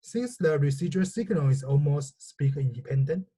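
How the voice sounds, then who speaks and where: Male researcher, native Chinese speaker, soft mellow tone, slightly rough husky voice, methodical formal lecture delivery. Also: a little high, lively intonation, moderate pace. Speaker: researcher presenting a technical lecture. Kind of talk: scripted technical lecture narration.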